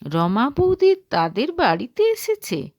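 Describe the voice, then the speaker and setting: A woman narrating a scripted audio story in a dramatic, measured way. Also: a little high, huge pitch swings, a little mellow, no echo, studio quality.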